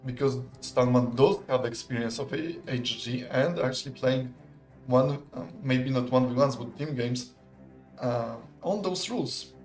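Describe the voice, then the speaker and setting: A young man, commentating a live strategy game in a relaxed, chatty, analytical way and laughing often. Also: medium pitch, a little slow, faint music behind. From Poland. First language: not English. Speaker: esports caster.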